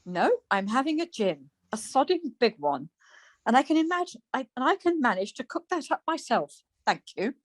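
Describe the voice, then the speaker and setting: A woman's voice: sits high, very animated, moderate pace, no echo. A woman acting a scatty, easily exasperated wife in a domestic radio comedy. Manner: flustered, snippy.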